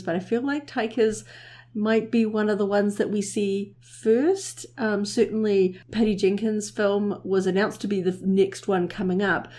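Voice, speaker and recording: Mellow-voiced New Zealand woman. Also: medium pitch. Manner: thoughtful, hopeful, with filler ums. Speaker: podcast co-host. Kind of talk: casual podcast discussion.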